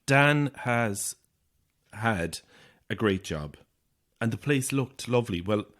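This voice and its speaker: A lonely Irish man in his thirties with a lowish voice. He is polite and a little hesitant, with a dry weariness, played naturally as in an audio drama. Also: expressive, slow, fairly bright tone. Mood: subdued, explanatory. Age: 30s. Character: lonely, weary man in his thirties stuck on a ghost estate.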